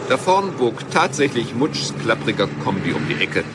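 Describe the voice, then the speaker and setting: An actor playing the storybook narrator of a children's radio play about ponies. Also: male voice, mid-range voice, very animated, moderate pace, very noisy, natural voice. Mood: vivid narration. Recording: radio play, loud car sound effects.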